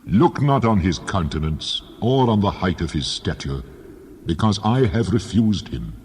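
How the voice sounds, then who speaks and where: A man's voice: sits low, big pitch swings, a little slow, darkish tone. A man voicing God as a majestic, commanding figure in a dramatized scripture reading, solemn and authoritative. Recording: long hall reverb, faint noise.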